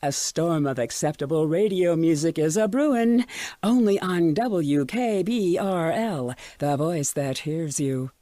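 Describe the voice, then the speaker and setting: Low-voiced sinister radio presenter. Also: female voice, a little slow, mellow, dry recording. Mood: inviting. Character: composed, sinisterly reassuring radio presenter and pitchwoman. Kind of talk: radio ad and station announcement.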